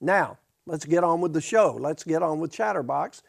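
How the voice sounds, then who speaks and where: Gravelly-voiced man hosting a campus talk show. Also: on the high side, lively intonation. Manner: halting, restarts sentences. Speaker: host.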